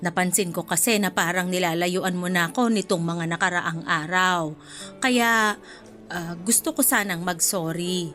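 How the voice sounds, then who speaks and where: A woman playing a kindly, apologetic older student in a radio drama, speaking in a gentle, motherly and patient way. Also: lowish voice, a little slow. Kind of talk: radio drama acting in Filipino. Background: music bed.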